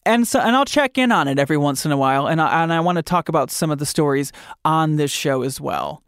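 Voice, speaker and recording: Male podcast host, chatty and campy, higher voice, dry close sound. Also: animated, quick pace, fairly bright tone, clear, resonant tone, no room sound. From Michigan. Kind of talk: casual podcast chat.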